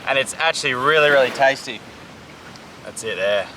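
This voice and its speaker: A man's voice: mid-range voice, moderate pace, pushed and bright, a little hiss or hum, low-quality recording. A young man from Samoa, an enthusiastic survival vlog host explaining foraged food outdoors on a tropical island beach. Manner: upbeat, enthusiastic tour-guide talk.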